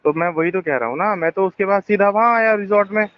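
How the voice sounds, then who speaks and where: Man on a phone line, high-pitched, rambling and defensive, packed with fillers, muffled call audio. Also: quick pace. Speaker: phone caller. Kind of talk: tense phone conversation.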